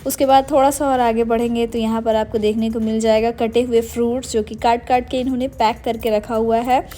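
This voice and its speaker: A woman's voice: fairly high pitch, little pitch movement, fairly quick, mellow, faint music behind. A young adult woman narrating a casual daily-life vlog, chatty and meandering, mixing English words into her Hindi. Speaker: vlogger.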